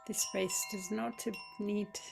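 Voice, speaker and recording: A woman guiding a yoga nidra meditation in a soothing manner, speaking in short phrases with long pauses. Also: medium pitch, fairly even intonation, creaky, background noise. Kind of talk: slow guided meditation. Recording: voice over chime music bed. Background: meditation music with chimes.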